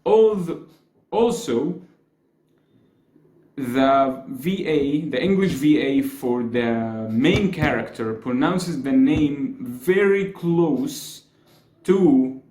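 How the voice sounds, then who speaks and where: Slow-talking young Egyptian man, echoey room. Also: medium pitch, dark tone. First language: Arabic. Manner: chatty, hedging, gestures a lot with his hands. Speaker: YouTuber. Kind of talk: casual explainer vlog. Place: small room with blue walls, indoors.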